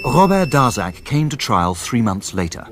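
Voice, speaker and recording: A man acting as a thoughtful lawyer who narrates a radio drama in a measured, gently wry way. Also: medium pitch, sing-song, over music, lightly acted. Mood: reflective, wry.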